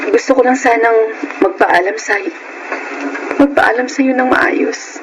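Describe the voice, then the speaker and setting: A loving, troubled young Filipina wife in a radio melodrama. Her voice is high and pleading, and the recording has clear noise over it. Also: a little slow. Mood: sorrowful, tender. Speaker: actor in audio drama.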